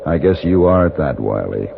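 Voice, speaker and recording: A man's voice: extremely low pitch, creaky, exaggerated voice. An American man playing a cool, taunting, unafraid Western stranger in an old-time radio drama. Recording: tinny noisy old radio recording.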